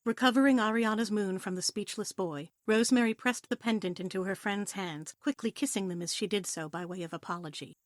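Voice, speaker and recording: A woman narrates a scripted adventure story with expression. Her voice sits at a middle pitch, captured dry and close. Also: a little fast, few pauses, dry recording. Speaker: narrator of audio play.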